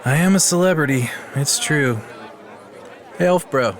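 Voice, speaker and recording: An actor playing a vain, dim, easygoing celebrity hero with slangy, self-satisfied talk in an audio drama. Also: male voice, on the high side, a little slow, a faint crowd, close to an everyday voice. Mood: smug.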